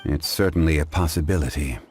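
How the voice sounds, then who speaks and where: An actor playing a composed, erudite gentleman who speaks formally and with measured dignity in an anime game. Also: extremely low pitch, faint music behind. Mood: grave, thoughtful.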